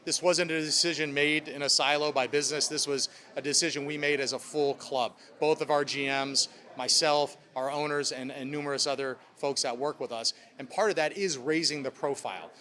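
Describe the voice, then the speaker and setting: A man's voice: a little high, fairly even intonation, a little fast, few pauses, sharp tone. A man speaking as a club president, answering reporters' questions in upbeat corporate language. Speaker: sports club president at a press conference.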